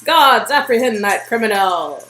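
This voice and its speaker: Woman putting on a pompous, loud imperious royal. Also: on the high side, lively intonation, unhurried, reverberant, soft background music, rough recording. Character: haughty, imperious royal ordering executions in jest.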